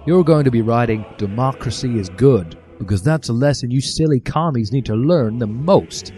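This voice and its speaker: A man's voice: medium pitch, normal speed, dark tone, faint music behind, natural voice. Man playing a sneering, greedy villain professor. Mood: gloating. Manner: gloating, condescending. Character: sneering, evil, greedy male capitalist teacher.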